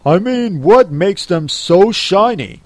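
A reader voicing a brusque, impatient and solemn beast in a storybook, speaking forcefully. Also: mid-range voice, expressive, slow, dry recording, voice pushed up. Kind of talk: storybook character reading.

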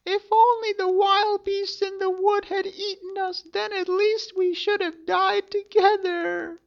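A man doing a high-voiced little girl. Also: level tone, unhurried, creaky, full character voice. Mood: pleading. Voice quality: high falsetto. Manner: pleading, drawn out. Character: frightened little girl, brave and clever. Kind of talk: storybook reading. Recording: dull lo-fi recording.

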